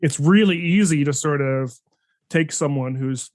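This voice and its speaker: A man's voice: fairly high pitch, a little slow, dry recording, studio quality. A man hosting a podcast interview, talking casually in a rambling way with many restarts and fillers. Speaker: podcast host.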